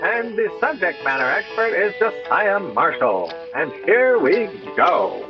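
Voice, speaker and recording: A man's voice: sits high, big pitch swings, measured pace. A man reading a scripted podcast introduction as the announcer, in a lo-fi recording. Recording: dull lo-fi intro over loud music. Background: music.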